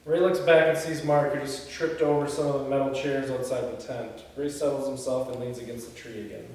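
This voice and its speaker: A man's voice: medium pitch, flat delivery, fairly quick, darkish tone. A man reading screenplay stage directions aloud at a table read, with informal asides. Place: indoor echoey room. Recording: very echoey room, distant mic, faint noise.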